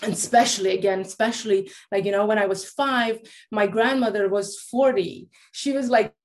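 Late 30s woman from iran, stops and restarts a lot, opening up about her past. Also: medium pitch. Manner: halting, with many restarts and fillers. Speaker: guest, life coach. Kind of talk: candid personal storytelling.

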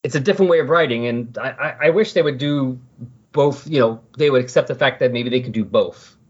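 A man's voice: medium pitch, fairly quick, slightly rough, highs cut off. A man hosting a podcast in casual talk, rambling and correcting himself as he goes. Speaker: podcast host.

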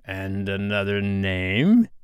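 A man voicing a proud, villainous pirate captain in a storybook, with grandiose, theatrical, drawn-out delivery. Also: low voice, big pitch swings, clean tone, no echo, exaggerated voice. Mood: probing, uneasy. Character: proud male pirate captain, blustering villain turning shaken.

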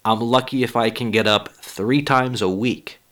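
A man hosting a solo podcast, a little hoarse, talking in a rambling, profane, self-deprecating way. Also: medium pitch, steady tone, normal speed, fairly bright tone. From Boston area. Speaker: podcast host and stand-up comic. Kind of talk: casual solo podcast monologue.

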